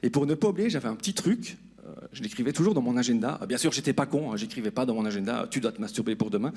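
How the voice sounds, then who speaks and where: Quick-talking male stand-up comedian in his forties. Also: medium pitch, expressive, a little mellow, breathy edge. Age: 40s. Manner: self-deprecating, addressing the audience directly. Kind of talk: stand-up comedy monologue to an audience. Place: live comedy club stage.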